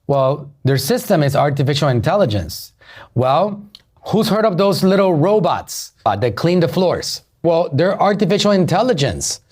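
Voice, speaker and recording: A man's voice: a little high, big pitch swings, normal speed, a little mellow, husky. A middle-aged man with a Puerto Rican accent, a marketer hosting a podcast, giving an emphatic motivational business talk that repeats key phrases and asks the listeners questions. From Puerto Rico.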